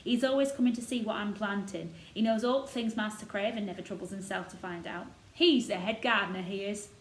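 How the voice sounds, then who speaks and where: A woman's voice: medium pitch, fairly quick, natural voice. Storybook role: blunt old Yorkshire gardener, rustic dialect, played by a woman, echoey room. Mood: amused, fond. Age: older. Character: gruff old Yorkshire male gardener, blunt, fond of robins. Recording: roomy echo, noticeable background noise.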